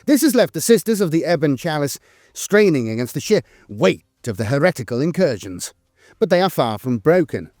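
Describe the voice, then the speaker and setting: A man's voice: fairly high pitch, darkish tone, no room sound, studio quality. A man reading scripted lore narration in a solemn, formal manner.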